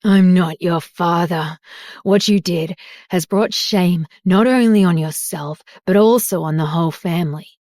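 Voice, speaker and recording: A woman voicing an enraged middle-aged father who shouts with contempt. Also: fairly low pitch, unhurried, dry recording, studio quality, natural voice. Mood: furious.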